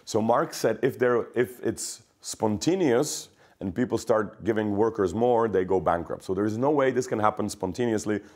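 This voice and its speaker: A man's voice: lowish voice, moderate pace, few pauses, a little mellow. A man from former Czechoslovakia, explaining ideas in an interview, thoughtful and hesitant with fillers and restarts. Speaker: interviewed economist.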